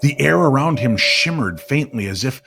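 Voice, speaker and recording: A man narrating an eerie, nostalgic winter-evening style story in a measured, dramatic way. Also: mid-range voice, expressive, creaky, background noise. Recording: music under the voice.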